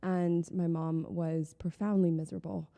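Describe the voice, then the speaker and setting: A woman's voice: lowish voice, flat delivery, moderate pace, creaky, warm tone, long reverb tail. A young woman from the Chicago suburbs, a model and founder, chatting as an interview guest in a sarcastic, teasing way. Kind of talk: casual interview conversation.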